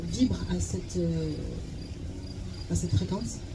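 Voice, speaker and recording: A woman's voice: on the low side, slow. Hesitant female interpreter over noisy insect chirping. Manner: hesitant, searching for words, repeating herself. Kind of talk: informal consecutive interpreting. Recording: clear background noise with chirping insects.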